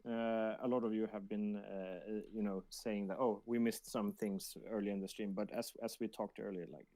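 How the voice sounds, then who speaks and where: A man co-hosting a casual livestream, speaking in a halting way and often repeating his words. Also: fairly low pitch, level tone, dark tone, no room sound. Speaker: livestream co-host reading backer questions.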